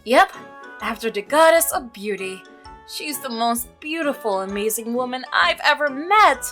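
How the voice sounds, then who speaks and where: A woman voicing an earnest, practical milkman from a visual novel, devoted and sincere in a measured way. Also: sits high, animated, sharp tone, soft background music, full character voice. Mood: fond, devoted. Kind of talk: visual novel character reading.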